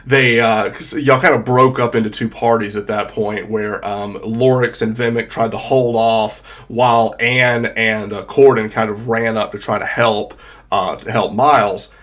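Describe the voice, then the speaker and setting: A man's voice: fairly low pitch, fairly even intonation, a little slow, a bit bright. Congested DM in his thirties, casual rambling recap, video-call desk mic. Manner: casual, rambling with many fillers and restarts. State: congested from a cold. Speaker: dungeon master. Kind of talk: game master recap of a tabletop campaign.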